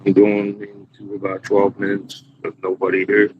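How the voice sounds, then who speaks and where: A man with a deep, raspy voice hosting a live chat room, chatting casually to himself while he waits for people on a small external mic. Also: completely flat delivery, normal speed, dull audio, background noise. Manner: rambling, talks to himself while waiting.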